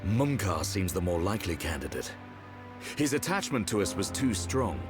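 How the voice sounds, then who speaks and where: A man playing a grave, analytical warrior in a video game, measured and matter-of-fact. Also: deep voice, sing-song, music in the background. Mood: sober. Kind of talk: video game cutscene acting.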